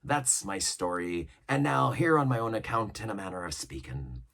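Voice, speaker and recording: A man's voice: lowish voice, lively intonation, normal speed, vocal fry, fairly bright tone, exaggerated voice. A man voicing a scheming older pirate cook who talks in a coaxing, boastful seaman's way.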